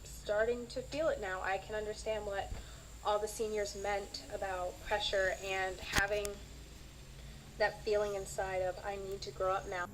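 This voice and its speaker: A teenage girl, a high school senior, speaking softly and reflectively in an even tone. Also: normal speed. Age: teens. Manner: soft, reflective, even delivery. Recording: noisy background hiss.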